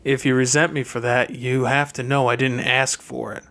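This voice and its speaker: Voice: medium pitch, flat delivery, moderate pace, a bit bright, natural voice. Reader voicing a reserved, earnest older brother. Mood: mild, defensive.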